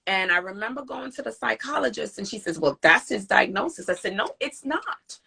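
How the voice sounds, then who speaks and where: A woman with a bright, forceful voice and a husky, slightly rough edge hosts a live video call interview. She talks earnestly and with feeling, acting out the words of people she quotes. Her voice carries a grainy texture throughout. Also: fairly high pitch, brisk. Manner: earnest, animated storytelling with emphatic quoted speech. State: recovering from voice loss. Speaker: interview host and parent advocate. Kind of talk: casual live-stream interview.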